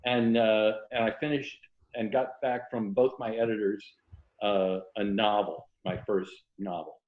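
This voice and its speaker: A man's voice: lowish voice, steady tone, a little slow, reverberant, narrow, tinny audio. A middle-aged or older man from Florida talking casually and reflectively as an interview guest, telling stories about his own life. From Tampa, Florida.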